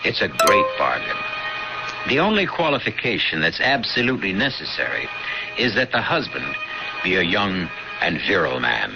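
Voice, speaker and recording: A man hosting a radio mystery show in a scripted, theatrical style, sly and wry with dramatic pauses. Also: sits low, very animated, vocal fry. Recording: dull old radio recording, music.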